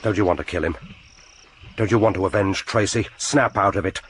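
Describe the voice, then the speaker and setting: An actor in a radio drama playing a grim, vengeful spy in brooding, sardonic inner monologue. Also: male voice, low-pitched, fairly quick, natural voice. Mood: tense, bitter. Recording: noisy radio drama recording.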